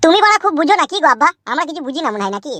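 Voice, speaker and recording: An actor voicing a crude, sulky young cartoon husband with a rural West Bengal Bengali accent, defiant and swearing. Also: male voice, very high voice, big pitch swings, rapid-fire, bright, forceful tone, no echo, heavily acted. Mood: stubborn, irritated. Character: stubborn, crude young village husband and son. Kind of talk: comic cartoon dubbing.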